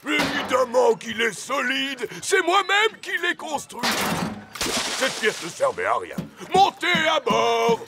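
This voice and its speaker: A man's voice: very high-pitched, lively intonation, takes it slowly, very noisy, heavily acted. An actor voicing a boastful, greedy old male rabbit in a cartoon, hearty and bluff. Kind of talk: cartoon voice acting.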